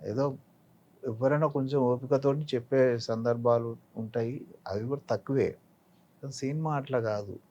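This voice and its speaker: A man's voice: mid-range voice, fairly even intonation, normal speed, warm tone, highs cut off. Older Telangana man, reflective and instructive. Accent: Telangana Telugu. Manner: didactic, illustrating points with examples. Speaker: actor being interviewed. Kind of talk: reflective interview talk with anecdotes and advice.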